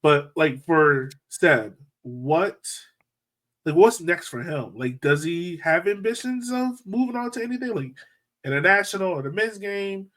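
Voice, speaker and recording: A man with a slightly high voice hosts a casual podcast. He puts his questions informally, circling around before he gets to the point. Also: animated, a little slow, fairly bright tone. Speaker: podcast host.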